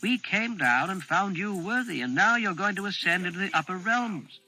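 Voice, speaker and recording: A man voicing a scheming, eager boy changeling in a high, pushy, excitable voice. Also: moderate pace, exaggerated voice, poor audio.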